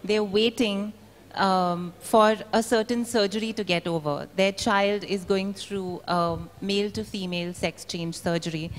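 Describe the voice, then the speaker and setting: A woman's voice: medium pitch, steady tone, measured pace. Woman reading aloud in an echoey hall. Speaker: reader at a book event. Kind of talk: dramatic literary reading aloud. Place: large indoor event venue.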